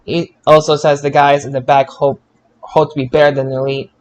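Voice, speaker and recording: A man's voice: a little high, little pitch movement, brisk, darkish tone. A man co-hosting a podcast, recapping shows in an informal, bantering way and stumbling over his words now and then. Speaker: podcast co-host. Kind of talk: casual wrestling show recap.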